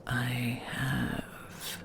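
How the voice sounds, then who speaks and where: Woman as a slow, whispering, creaky she-dragon. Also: a bass voice, monotone, exaggerated voice. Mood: possessive, hypnotic. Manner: slow, drawn-out, soothing, formal old-fashioned phrasing. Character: ancient possessive she-dragon, tender, hypnotic, luring humans. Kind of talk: intimate whispered audio roleplay, ASMR.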